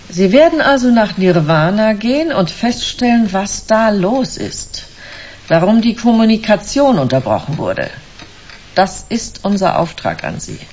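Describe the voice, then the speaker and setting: An actress playing a shrewd, businesslike corporate executive with a faintly amused manner in a radio play. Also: female voice, low voice, darkish tone, lo-fi sound, slight background noise. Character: cool, shrewd female corporate executive hiring a detective.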